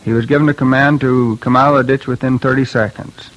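A man's voice: medium pitch, steady tone, quick pace, fairly bright tone, lo-fi sound. A man, a former law officer, giving a scripted account in a stiff, official manner.

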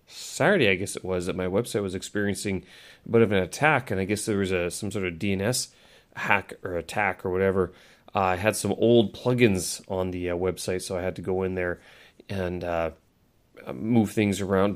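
Congested man rambling on his audio blog. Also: low voice. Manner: rambling, self-correcting, many fillers. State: congested, nasal from a cold. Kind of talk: casual solo audio blog.

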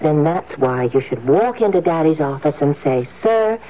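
A woman's voice: low voice, expressive, normal speed. An actress playing a doting, naive rich wife in a 1970s radio drama, girlishly sweet, cooing and earnestly coaxing. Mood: earnest, insistent. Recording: dull, hissy 1970s radio broadcast.

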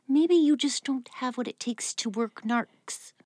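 A woman's voice: high-pitched, a little slow, very noisy. An actress playing a smug, taunting female police officer rival. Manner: taunting, sneering. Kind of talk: audio drama acting.

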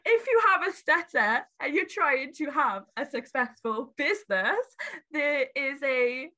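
A woman's voice: high-pitched, expressive, measured pace, pushed and bright. A woman who stutters heavily, with many repeats and restarts, talking candidly in an interview about her beauty brand. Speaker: guest, beauty brand founder.

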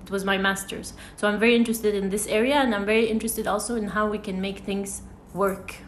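A woman's voice: medium pitch, fairly even intonation, quick pace, a little hiss or hum. A woman hosting a podcast interview, talking hesitantly with many fillers and restarts. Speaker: podcast host. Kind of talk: interview conversation. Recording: echoey room.

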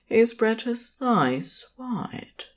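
An audiobook-style narrator of fan fiction with an intimate storytelling delivery. The pace is very slow and deliberate, and the pitch moves in a lively way through each sentence.

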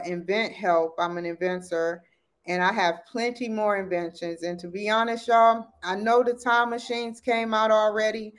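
A woman's voice: mid-range voice, smooth. A woman in her thirties, a podcast guest, talking in a rambling, enthusiastic way and laughing often. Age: 30s. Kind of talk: casual chat.

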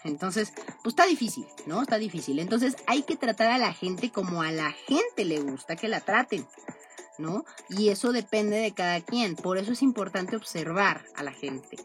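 A woman's voice: medium pitch, lively intonation, normal speed. Lady chatting on her podcast, keeps going "no?", music under her. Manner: chatty, tags most sentences with a questioning "no?". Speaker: podcast host. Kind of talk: casual monologue.

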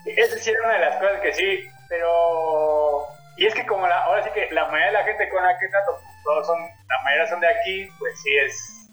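A man with a Mexico City Spanish accent chats loosely as a podcast guest, trailing off and restarting as he lists things. His voice sits a little high. The room around him rings with echo, and faint music plays underneath. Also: fairly quick, fairly bright tone. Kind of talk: casual chat.

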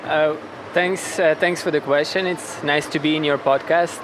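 A man's voice: a little high, fairly even intonation, normal speed, background noise. A man from western Ukraine with an Eastern European accent, talking casually and reflectively as a podcast guest. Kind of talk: casual interview.

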